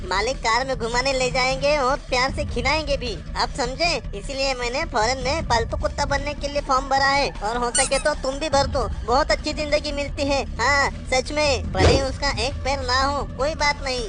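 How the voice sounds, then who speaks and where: Chatty, eager little cartoon soul with a high pleading voice, music underneath female performer. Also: fairly even intonation, normal speed, few pauses, close to an everyday voice. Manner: begging, eager. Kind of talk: Hindi comedy cartoon dialogue. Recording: music behind the voice.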